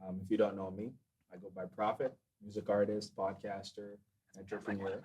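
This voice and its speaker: A man's voice: on the low side, level tone, moderate pace, darkish tone, slight background noise. A man hosting a casual podcast panel, talking loosely with lots of fillers and trailing asides. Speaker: podcast host.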